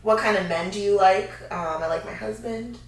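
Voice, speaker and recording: Thirties woman, laid-back co-host, mid pitch, recorded far off in a reverberant room. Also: slight background noise. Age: 30s. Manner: chatty, easygoing, quick to laugh. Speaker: fitness co-host. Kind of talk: casual Q&A chat. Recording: echoey room, mic far off.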